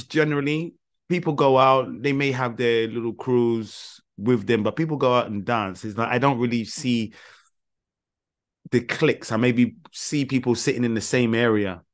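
A man co-hosting a podcast, relaxed and teasing, telling rambling anecdotes. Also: mid-range voice. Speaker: podcast co-host. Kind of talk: casual chat.

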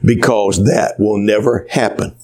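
A man's voice: fairly low pitch, fairly even intonation, unhurried, a little mellow, pitched below the performer's own voice. A man doing a curt, cold head nurse. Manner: curt, dismissive. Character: hard, cold woman head nurse, ex-army.

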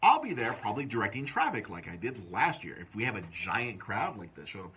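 Brief: man, bright lively delivery, loud joking host, light hiss. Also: on the low side, a little fast, breathy edge. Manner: loud, joking, plugs events. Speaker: podcast host. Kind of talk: casual live-stream chat. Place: comic book store.

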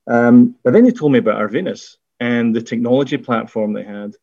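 A middle-aged Scottish man recounts his path through the industry at a middle pitch, pausing on fillers and restarting his phrases. The sound is dry and a little dull, with no room echo. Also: animated, mellow. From Scotland. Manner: measured, with frequent fillers and restarts. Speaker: biotech executive guest. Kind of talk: conversational interview.

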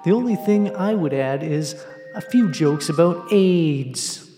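A man playing a smarmy pitchman in a parody commercial, with a slightly high voice and sarcastic salesman delivery. Heavy reverb surrounds him and music plays clearly behind. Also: expressive, measured pace, warm tone. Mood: mock-sincere salesmanship. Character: smarmy mock infomercial pitchman for a hack comics' program.

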